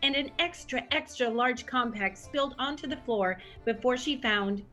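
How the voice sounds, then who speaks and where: Narrator for a comedy audio drama, wry storytelling, highish voice, faint music behind. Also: female voice, moderate pace, bright, forceful tone. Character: storyteller narrator of a comic radio tale. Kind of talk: scripted narration.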